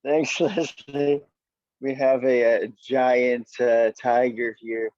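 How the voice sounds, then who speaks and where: A man hosting an online open mic casually and appreciatively, in halting, hesitant phrases, heard over dull call audio. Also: mid-range voice, flat delivery, darkish tone, no room sound. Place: online video event.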